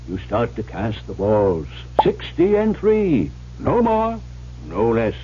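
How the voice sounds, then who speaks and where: An actor playing a rustic storyteller in an old-time radio drama, telling a spooky folk tale with relishing, dramatic, old-fashioned diction. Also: male voice, mid-range voice, a little mellow. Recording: muffled vintage radio recording with hum.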